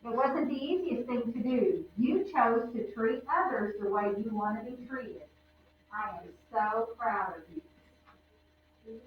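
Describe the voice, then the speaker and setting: A woman reading the part of a gentle, proud father in a storybook, soft and reassuring. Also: measured pace, natural voice. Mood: tender pride. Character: gentle, proud fatherly man comforting a child. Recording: roomy, distant, dull low-fi with faint noise.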